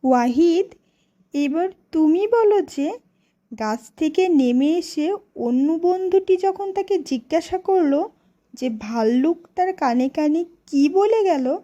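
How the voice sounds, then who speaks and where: A woman reading scripted children's stories and questions as a teacher, in a deliberate, instructive way. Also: very high voice, expressive, dark tone, lo-fi sound. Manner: slow, deliberate, teacherly phrasing. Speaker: storyteller and teacher. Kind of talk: scripted children's story narration and classroom questioning.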